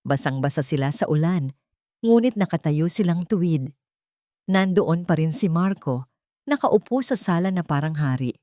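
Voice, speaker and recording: A woman's voice: fairly low pitch, animated, normal speed, warm tone, no room sound, clean studio sound. A woman reading a scripted love story as a dramatic narrator.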